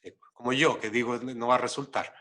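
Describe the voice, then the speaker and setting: A man's voice: medium pitch, steady tone, fairly quick, pushed and bright. A man with a Chilean accent asking short questions as interviewer in a casual conversation.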